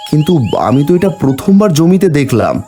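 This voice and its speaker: A man's voice: fairly high pitch, very animated, fairly quick, mellow, soft background music, voice pushed up. A man voicing an honest, good-hearted, humble elder brother from a village in a cartoon fairy tale. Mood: humble, questioning.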